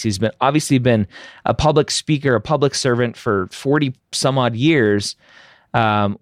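A man's voice: medium pitch, no echo, studio quality. A middle-aged man hosting a podcast, giving candid, opinionated advice and tagging points with a rhetorical "right?". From United States. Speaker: podcast host answering listener questions. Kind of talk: casual advice Q&A.

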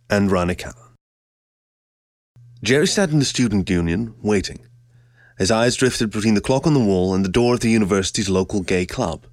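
A man's voice: fairly low pitch, dry recording. A man reading a scripted story as an audiobook narrator.

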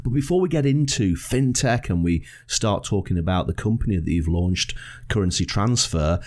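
Low-voiced podcast host. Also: male voice, lively intonation, normal speed. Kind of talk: podcast interviewing.

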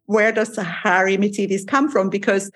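A woman from Switzerland who hosts a travel interview podcast, chatty and quick to laugh. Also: mid-range voice, fairly even intonation, breathy edge. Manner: chatty, laughs often, digresses. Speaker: podcast host. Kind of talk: casual interview chat. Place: home in Cyprus.

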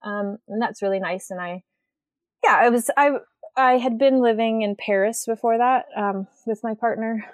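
A woman's voice: a little high, moderate pace, dry recording. An American woman from western Washington who grew up speaking Swedish, talking casually as an interview guest. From rural western Washington state, USA. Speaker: podcast guest, writer and artist.

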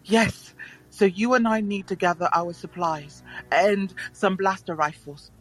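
An actor playing a worried young alien warrior, protective and earnest, with formal diction in an audio drama. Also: male voice, high voice, measured pace, fairly bright tone, faint music behind, low-quality recording. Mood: grave, determined.

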